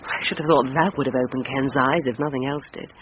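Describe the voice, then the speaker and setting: A woman's voice: rumbling low, steady tone, fast talker. An actress in a radio drama playing a frank, forthright woman with a blunt, wry manner. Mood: scornful, candid. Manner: blunt, wry, mildly swearing. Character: frank, forthright woman gossiping about an old friend. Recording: muffled, noisy radio drama recording.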